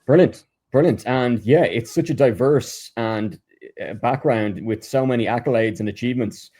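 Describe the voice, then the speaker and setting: Hesitant podcast host, mellow voice. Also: male voice, medium pitch, normal speed. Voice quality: hesitant, many fillers and restarts. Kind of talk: casual interview, reading an intro.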